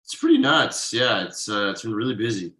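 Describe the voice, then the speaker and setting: An evasive, defensive husband and father, acted by a man at a table read. His delivery is conversational and halting. The voice is forward and bright, with very lively pitch movement. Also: full character voice. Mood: awkward, evasive. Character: defensive, evasive middle-class husband and father writing ad copy. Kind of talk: table read of a TV pilot script.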